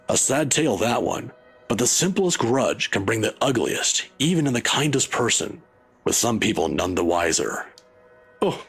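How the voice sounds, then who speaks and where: A man voicing an older male professor who speaks in a measured, lecturing, wry way, with a husky voice. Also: mid-range voice, a bit bright, exaggerated voice.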